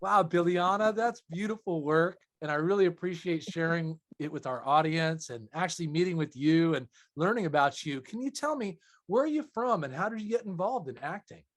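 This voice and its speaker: A man's voice: fairly high pitch, animated, a little fast, few pauses. A man hosting a conversational interview in an effusive, affirming, wordy manner. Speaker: interviewer, host.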